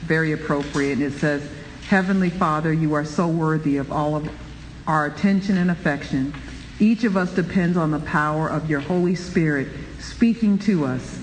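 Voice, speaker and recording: High-pitched man, lively Bible study leader, roomy hall reverb, light noise. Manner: preachy, animated, exclaiming. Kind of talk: church Bible study talk.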